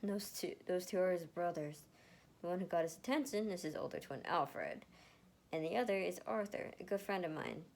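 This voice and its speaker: A woman's voice: on the low side, lively intonation, normal speed, darkish tone. A woman voicing a dry, teasing young man, a big brother, in an amateur story reading. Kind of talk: amateur fanfiction read-aloud. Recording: noticeable background noise.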